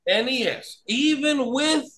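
A man chatting casually about retro video games as a podcast guest, excitable and emphatic, often repeating himself. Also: very high-pitched, takes it slowly.

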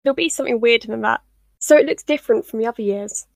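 Lady doing a blunt 13 year old girl, lots of fry, very dry sound, audio drama. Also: fairly high pitch, rapid-fire, no echo. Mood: matter-of-fact.

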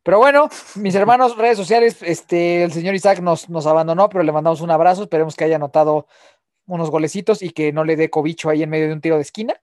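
A man's voice: a little high, fast, darkish tone, no room sound, studio quality. A man with a Mexican accent hosting a podcast, teasing his co-hosts and swearing freely in casual banter. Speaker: podcast host. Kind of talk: casual chat.

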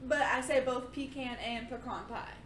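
Playful podcast co-host, woman with a higher voice, heard a bit off-mic in an echoey room. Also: steady tone, normal speed, a bit bright, far from the mic, slight background noise. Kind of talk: casual chat.

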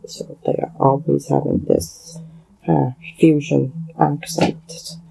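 A man from Hong Kong with a Hong Kong accent and Cantonese as his first language, talking alone on a podcast in a halting way, with many fillers and pauses. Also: mid-range voice, little pitch movement, gravelly, dark tone, rough recording. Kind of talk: solo spoken commentary.

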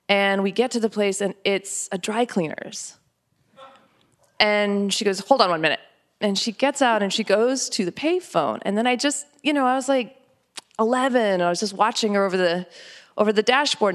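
American woman telling stories conversationally. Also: mid-range voice. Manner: animated, quotes dialogue as she goes. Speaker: author, interview guest. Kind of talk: conversational personal storytelling.

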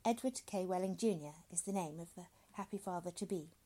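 A woman's voice: lowish voice, fairly quick, mellow, close to an everyday voice. A woman voicing a weary, sullen expectant father with a terse, bitter manner in a story reading. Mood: wretched. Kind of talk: short story dramatic reading.

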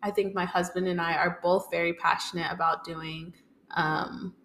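A woman's voice: on the low side, flat delivery, moderate pace, fairly bright tone, slight background noise. A young woman, speaking casually and tentatively as a podcast guest, thinking as she goes. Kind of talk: casual personal conversation.

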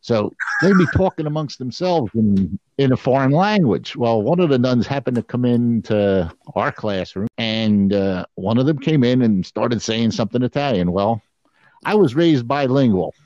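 A man's voice: mid-range voice, lively intonation, normal speed, darkish tone, lo-fi sound. A man co-hosting a podcast, chatting casually and telling stories with frequent chuckles. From Philadelphia area, USA. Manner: laid-back storytelling, chuckles often. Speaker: podcast co-host. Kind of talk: casual chat.

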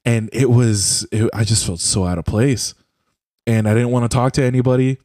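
A man's voice: medium pitch, steady tone, normal speed, a little mellow, dry recording, studio quality. A young man from Puerto Rico whose first language is Spanish, chatting casually and profanely over a voice call, rambling with lots of "bro" and "like". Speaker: podcast host. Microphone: headset or desk mic on a voice call.

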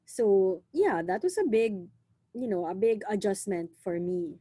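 Lively Filipino woman, casual chat. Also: mid-range voice, unhurried, dry recording. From Philippines. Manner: lively, frequent "you know", mixes in Tagalog words. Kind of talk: casual conversation.

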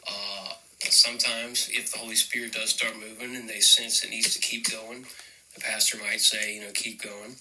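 A man giving informal, unscripted religious teaching as a ministry leader, explaining earnestly in halting phrases. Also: mid-range voice, bright, forceful tone, slight background noise, rough recording.

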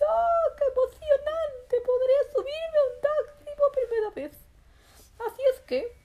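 A woman voicing an excitable, childlike little boy rabbit who tells a children's bedtime story with wide-eyed exclamations and drawn-out words. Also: extremely high pitch, heavily acted. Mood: excited.

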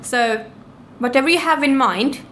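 A woman's voice: sits high, moderate pace, a bit bright. A woman from Norway answering interview questions conversationally, in a plain-spoken, encouraging way. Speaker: podcast guest, business owner. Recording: clearly noisy background.

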